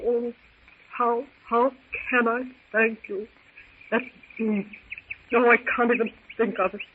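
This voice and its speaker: An actress playing a frightened, grateful young woman in a 1930s radio serial, speaking in halting, theatrical exclamations. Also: fairly high pitch, steady tone. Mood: shaken gratitude. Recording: muffled, noisy 1930s radio recording.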